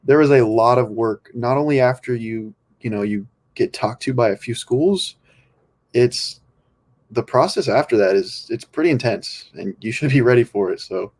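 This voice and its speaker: A man's voice: mid-range voice, normal speed, darkish tone. Laid-back young man, rambling. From California. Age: young adult. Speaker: guest. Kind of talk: casual podcast chat.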